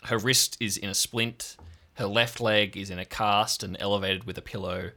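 Low, bright-voiced man narrating. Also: fairly even intonation, moderate pace. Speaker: game master. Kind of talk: improvised narration of an audio role-play.

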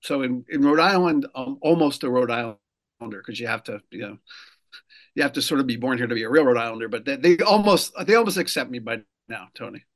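A man from New Jersey, a political consultant, explaining a law in a radio interview, pausing often with fillers and restarts. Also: medium pitch. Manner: measured, many fillers and restarts. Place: lives in Rhode Island.

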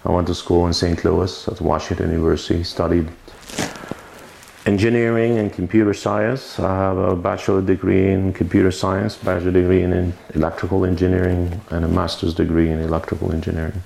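A man's voice: sits low, flat delivery, brisk, creaky, darkish tone. A man, telling his story in a rambling, self-correcting way in an oral history interview at a winery. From Lebanon. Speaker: winemaker interviewee. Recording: roomy recording with faint noise.

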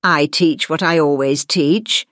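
A woman's voice: low voice, slow, fairly bright tone, no room sound, studio quality. Woman acting a composed, knowing witch schoolteacher. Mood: composed, instructive.

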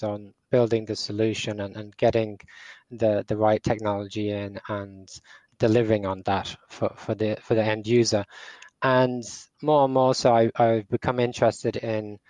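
A man's voice: on the low side, level tone, normal speed, darkish tone, no echo, muffled recording. A man in his forties, raised in Portugal, answering podcast questions as a startup tech lead, hesitant with many restarts. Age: 40s. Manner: hesitant, with frequent restarts and repeated words. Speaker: startup CTO guest. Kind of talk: podcast interview.

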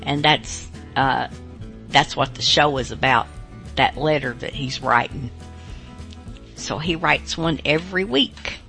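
A woman hosting a radio show, introducing it in a casual, halting, unscripted way. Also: very deep voice, vocal fry, bright, forceful tone, faint music behind. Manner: halting, informal.

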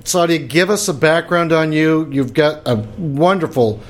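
A man's voice: fairly high pitch, measured pace. A man hosting an interview show, asking direct questions in an upbeat way. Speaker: podcast host.

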